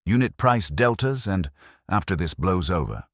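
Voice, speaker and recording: Casting: wry male gumshoe, done by a male reader, extremely low pitch, clipped reports, mystery audiobook. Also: lively intonation, moderate pace, no room sound, studio quality. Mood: terse, wry. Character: terse, wry male private investigator giving reports.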